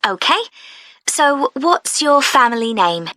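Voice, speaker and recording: A woman playing a friendly, efficient club receptionist, asking clear, helpful questions in a scripted listening-test dialogue. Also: high-pitched, very animated, takes it slowly, pushed and bright, no room sound, heavily acted. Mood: friendly, businesslike.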